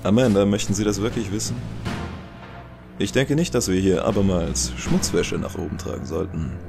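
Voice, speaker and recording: A man acts a suave older Italian crime boss in an audio drama. His voice is very deep and creaky, with condescending politeness and quiet threat. Some music plays behind him. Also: normal speed. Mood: smooth, evasive. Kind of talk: German audio drama acting.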